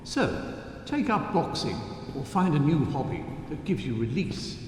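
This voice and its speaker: A formal male announcer for an eerie horror game, speaks in a measured broadcast manner. His fairly high, lively voice rings through a very echoey hall with long reverb, delivering cryptic advice like official news. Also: a little hiss or hum.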